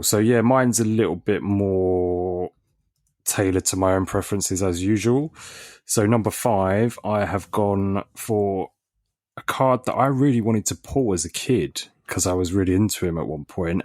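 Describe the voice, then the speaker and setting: A man's voice: deep voice, measured pace, darkish tone, no echo, studio quality. A man co-hosting a podcast, telling a story in a laid-back way with ums. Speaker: podcast co-host. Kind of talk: casual chat.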